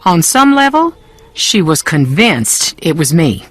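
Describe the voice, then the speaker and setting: A woman's voice: deep voice, sing-song, slow, husky, no echo, full character voice. A woman playing a troubled, haughty woman in a video game, brittle and curt, with a Southern US accent. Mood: bitter.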